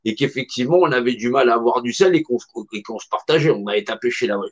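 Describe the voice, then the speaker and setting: A man's voice: mid-range voice, quick pace. A middle-aged man giving an impassioned, emphatic monologue in a colloquial, repetitive way. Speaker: speaker. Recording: dull lo-fi recording.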